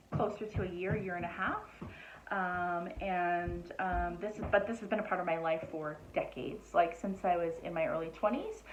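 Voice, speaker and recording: A woman in her thirties, originally from Nova Scotia, chats casually to the camera about herself. She rambles a little, with plenty of ums and self-corrections, at a middle pitch. The recording sounds roomy and a bit dull, like a home setup. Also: slight background noise. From Nova Scotia, Canada. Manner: chatty and relaxed, many ums and restarts. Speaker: psychic medium introducing herself.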